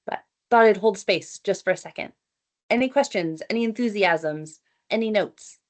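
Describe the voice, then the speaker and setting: A woman's voice: medium pitch, normal speed. A woman, presenting at an online meeting in a chatty, upbeat, inviting way over a computer mic. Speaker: event organizer presenting. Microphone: computer mic on video call.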